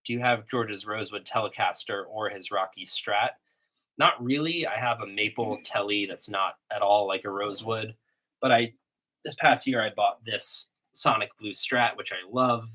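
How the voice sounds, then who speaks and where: A man hosting a casual livestream Q&A about guitar, talking with a slight cold and a sniffly sound. He rambles in a relaxed way, with many ums and restarts, and plays guitar between answers. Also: on the low side, moderate pace, low-quality recording. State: has a cold, a little sniffly. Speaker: livestream host answering chat questions. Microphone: iMac desktop microphone. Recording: home desktop computer recording. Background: guitar playing between answers.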